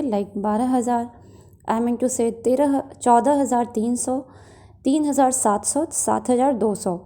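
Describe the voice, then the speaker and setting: A woman with a fairly high, dark-toned, relaxed voice narrates as a channel host. She explains updates to viewers in a reassuring way, slipping English words into her sentences.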